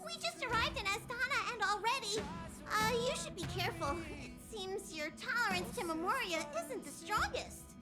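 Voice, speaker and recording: A woman's voice: extremely high pitch, animated, normal speed, over loud music. A woman voicing a small childlike creature, a fussy, caring train conductor in a video game, chirpy and instructing. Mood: gentle concern.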